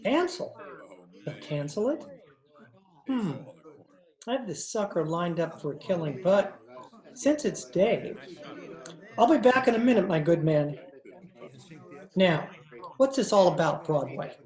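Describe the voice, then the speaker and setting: An actor playing a cultured, nervous judge and pool hustler, speaking in a fussy, theatrical old-time radio style. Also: male voice, high voice, very animated, unhurried, pauses often, a little mellow. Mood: wary, curious. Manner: pompous, fussy, theatrical speech. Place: indoor room, recorded over video call. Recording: roomy, echoing video-call recording.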